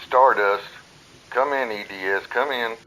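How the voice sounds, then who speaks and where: Man acting a sharp-toned, officious radio operator. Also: mid-range voice, a little slow, poor audio. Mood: curt. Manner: curt, procedural. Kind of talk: dramatic radio play acting.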